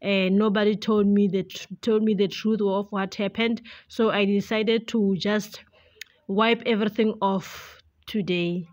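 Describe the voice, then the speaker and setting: Slow-talking woman in a casual vlog. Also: medium pitch, fairly even intonation, a little mellow. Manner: hesitant, chatty, addressing viewers as guys. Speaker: vlogger, homemaker.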